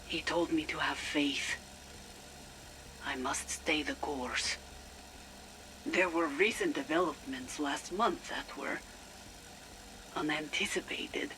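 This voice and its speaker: Haunted true believer, reverent and deliberate, unhurried delivery for a video game audio diary. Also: male voice, fairly high pitch, sharp tone, slight background noise, low-quality recording. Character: solemn devoted zealot, fanatically faithful and haunted. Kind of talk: dramatic audio log monologue.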